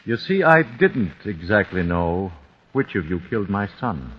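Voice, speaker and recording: Vengeful older father, deep, precise, chilling; 1940s radio with light hiss. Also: very animated. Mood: cold. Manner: measured, menacing, precise. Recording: dull 1940s radio broadcast.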